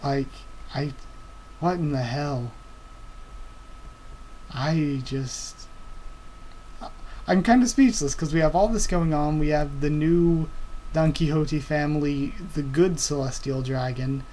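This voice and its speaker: A young man reacting live to a new manga chapter, excitable and thinking aloud with stammering restarts. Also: on the high side, takes it slowly, long pauses, slight background noise, rough recording.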